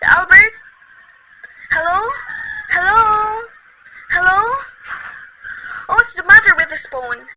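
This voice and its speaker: A woman's voice: very high voice, slow pace, long pauses. A woman voicing a nervous bedridden invalid, frantic and complaining, near tears. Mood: anxious. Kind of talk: student radio play reading. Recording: loud background noise.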